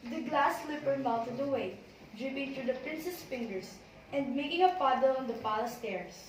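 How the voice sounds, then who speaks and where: High-voiced woman narrating in an echoing room. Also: normal speed. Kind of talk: scripted storybook narration in amateur readers' theater. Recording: very echoey room, distant, noisy.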